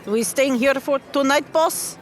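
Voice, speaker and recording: A woman's voice: high voice, unhurried, voice pushed up. A woman playing a big, hearty female adventurer in a tabletop game, casual and plainspoken. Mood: easygoing.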